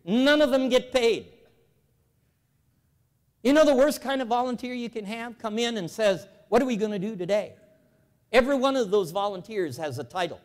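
Seventies guy, folksy and emphatic, speaking in a big reverby hall, fairly high voice. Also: moderate pace, pauses often. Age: 70s. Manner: animated, folksy, emphatic repetition. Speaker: convention speaker. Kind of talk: lively storytelling speech to a church audience. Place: large convention hall.

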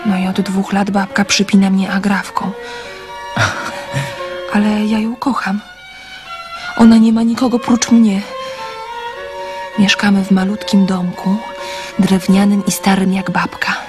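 A candid, sensitive girl of seventeen in a radio drama, played by a woman. She is trusting and earnest, confiding in a mid-pitched voice. Music plays faintly behind her. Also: little pitch movement, a little slow, long pauses, natural voice.

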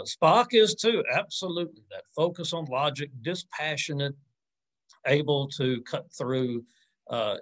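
Male academic, forties, mid-range pitch, informal Q&A delivery, reflective and a little hesitant, on a video call. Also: poor audio. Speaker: academic answering audience questions. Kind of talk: informal lecture Q&A, thinking aloud. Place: indoors on a video call with virtual background.

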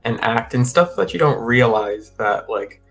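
A young man being interviewed over a video call from a room at home, talking in a relaxed, rambling way with lots of fillers and restarts. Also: mid-range voice, a little slow, vocal fry, soft background music. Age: young adult. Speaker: guest. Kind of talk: casual interview.

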